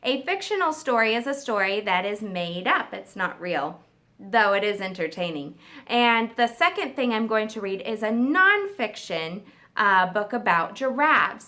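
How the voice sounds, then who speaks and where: A woman reads aloud like a kindergarten teacher at storytime. Her voice is bright and very lively, and she takes her time, explaining and putting questions to the children. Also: fairly high pitch. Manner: expressive, asks listeners questions. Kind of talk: children's read-aloud, explaining to young listeners.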